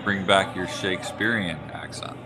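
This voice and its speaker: A man doing color commentary on a curling match, calmly explaining shot choices. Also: deep voice, normal speed, loud music behind the voice. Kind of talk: sports commentary.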